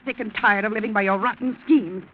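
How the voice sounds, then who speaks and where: A woman's voice: mid-range voice, fairly even intonation, fairly quick, close to an everyday voice. An actress playing a spunky young American woman, defiant and emphatic, in 1940s radio style. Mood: bitter, defiant. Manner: emphatic, scolding. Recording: muffled 1940s radio recording.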